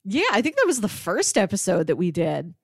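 A woman's voice: mid-range voice, sing-song, fairly quick, vocal fry, dry recording, studio quality. A woman co-hosting a podcast, talking in a casual, conversational way. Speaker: podcast co-host.

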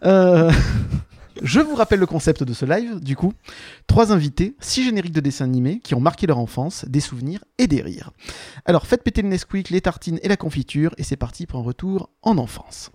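A man's voice: fairly high pitch, lively intonation, a little fast, dark tone, no echo, clean studio sound. A man hosting a live show in a playful, mock-grandiose presenting style. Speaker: podcast host. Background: background music.

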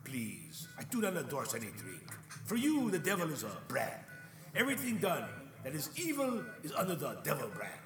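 An actor playing the devil as a polite, soft-spoken man who calls himself the pastor's friend, talking in a calm, measured, slightly preachy way. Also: a little high, distant mic. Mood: calm lecturing. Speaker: self-styled friend of the pastor. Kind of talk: film drama acting. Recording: roomy sound with music score.

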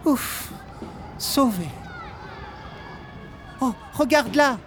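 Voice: high voice, lively intonation, drawn-out words, a bit bright, full character voice. An actor voicing a cheeky, playful little wind in a children's audio drama, talking casually.

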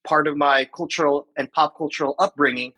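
Guest, man in his 40s from the Philippines, bright and forceful tone, reflective. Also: on the high side, fairly even intonation, a little fast, breathy edge. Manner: reflective, with many fillers and restarts. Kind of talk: casual interview talk.

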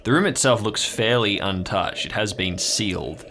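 Low-voiced male game master narrating. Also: expressive, background noise. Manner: descriptive, pausing to build detail. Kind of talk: improvised scene narration.

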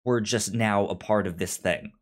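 A podcast co-host chatting casually about a show, haltingly, often repeating starts while searching for words. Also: deep voice. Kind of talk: casual chat recapping a TV show.

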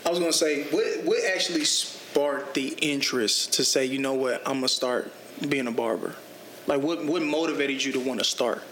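A man co-hosting a podcast who chimes in with quick affirmations and asks curious follow-up questions in a casual way. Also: medium pitch, fairly bright tone. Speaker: podcast co-host. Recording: echoey room with faint noise.

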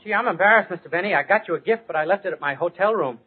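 A man's voice: high voice, racing, slightly rough. An actor playing a naive, earnest, sweetly dim young man in a 1950s radio comedy. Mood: sheepish. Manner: innocent, earnest. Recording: tinny, muffled old radio recording.

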